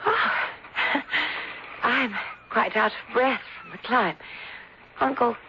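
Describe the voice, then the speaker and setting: A woman's voice: fairly high pitch, slow pace. A woman playing a frail, melancholy, genteel young English lady in a radio drama, delicate and polite in her speech. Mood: wistful unease. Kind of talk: old-time radio drama acting. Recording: noisy dull old radio broadcast.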